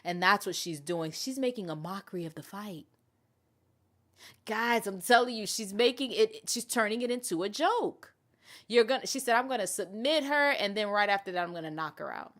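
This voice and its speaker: Female commentary host, mid-range voice. Informal and opinionated, emphatic, animated when retelling what others said. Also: mid-range voice, moderate pace. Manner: animated retelling, acting out quotes; emphatic, repeats points for emphasis. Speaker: host of an MMA commentary channel. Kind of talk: casual opinionated commentary to viewers.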